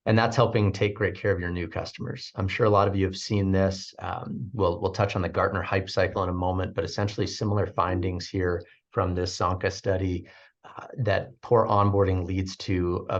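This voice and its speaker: A deep-voiced man hosts a business webinar, speaking sincerely with lots of pauses and restarts. The audio is slightly dull, as if from a video call. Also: fairly even intonation. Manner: hesitant, many fillers and restarts, sincere. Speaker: webinar host. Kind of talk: webinar talk.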